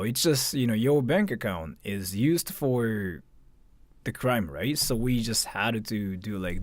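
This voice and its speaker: A man chats to his livestream in a casual storytelling style. His pitch swings widely as he replays conversations, peppered with swearing and repeated phrases. The sound is dry, with very little room in it. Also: no room sound. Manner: animated, swearing, acts out both sides of conversations.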